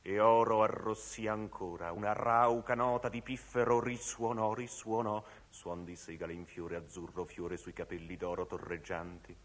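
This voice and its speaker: A man's voice: on the low side, steady tone, normal speed, few pauses. A man performing a literary text as dramatic recitation, declamatory, stretching from murmurs to cries. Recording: long reverberant hall sound.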